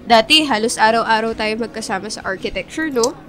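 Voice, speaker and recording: High-voiced teasing young woman, played by an actress. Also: brisk, a bit bright, natural voice. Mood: playful, friendly. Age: young adult. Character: teasing loyal young woman, the best friend. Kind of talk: Filipino radio drama acting.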